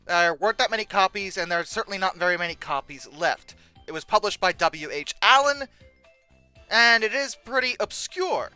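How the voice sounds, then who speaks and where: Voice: medium pitch, expressive, moderate pace, bright, forceful tone, smooth, dry recording, soft background music. A video host giving casual, humorous commentary in a sarcastic, exasperated manner with dramatic emphasis.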